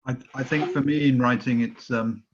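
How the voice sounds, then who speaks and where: A male writer chatting tentatively and haltingly in a spontaneous discussion on an online call. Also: medium pitch, steady tone, lo-fi sound, a little hiss or hum.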